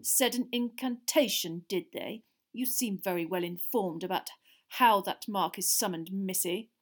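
A woman's voice: medium pitch, unhurried, close to an everyday voice. Woman voicing a cold, curt, stern older official. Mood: scornful disbelief. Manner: accusing, sarcastic, clipped. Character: stern, enraged older male ministry official.

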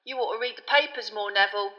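A woman's voice: mid-range voice, fast, in a large hall, dull audio, natural voice. A woman voicing a chatty, easily spooked young male bus conductor in an audiobook, with colloquial speech and dramatic tag questions. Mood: cocky. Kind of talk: audiobook character voice.

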